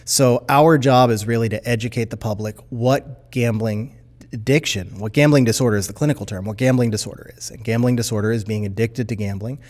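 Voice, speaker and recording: A middle-aged man giving an explanatory interview answer as an expert, measured and careful in his wording. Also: mid-range voice, darkish tone.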